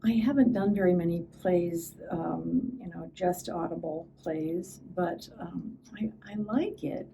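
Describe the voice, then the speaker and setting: A woman's voice: fairly low pitch, measured pace, gravelly, few pauses, dark tone. An older woman, an actor, speaking reflectively in an interview. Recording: distant mic, faint background noise.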